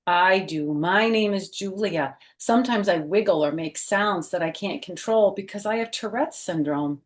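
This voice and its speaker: A middle-aged woman reading a picture book aloud for children, with gentle teacherly phrasing and chatty personal asides. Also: medium pitch, normal speed.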